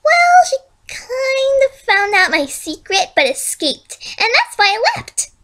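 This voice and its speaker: A woman's voice: extremely high pitch, sing-song, takes it slowly, fairly bright tone, voice pushed up, exaggerated voice. A young-sounding woman voicing a manic, cheerfully sinister pink pony villain in a storybook reading, chatting with menacing casualness. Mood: giddy, confiding. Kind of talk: amateur storybook fan fiction reading.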